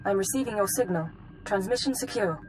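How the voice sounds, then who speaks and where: A woman playing a composed female intelligence analyst in a video game, giving briefings in a measured, formal way. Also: medium pitch, slight background noise, close to an everyday voice, rough recording. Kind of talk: scripted video game acting, mission briefing.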